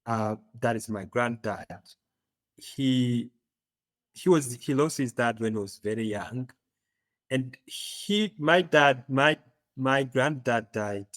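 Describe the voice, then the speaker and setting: A man from Uganda with an East African accent, telling his story as an interview guest, often pausing to search for words. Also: medium pitch, fairly bright tone, no room sound. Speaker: podcast guest.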